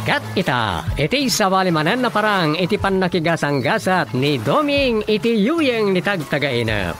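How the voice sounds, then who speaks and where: High-pitched, dramatic Filipino radio announcer man. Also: very animated, moderate pace, a bit bright. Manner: dramatic, declamatory. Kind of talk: theatrical scripted radio narration. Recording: radio programme intro over music.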